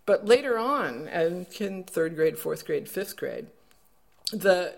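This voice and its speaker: A man's voice: sits high, lively intonation, slow pace, vocal fry. A man being interviewed as an education expert, explaining in a thoughtful, self-correcting way. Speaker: education researcher guest. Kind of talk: interview answer.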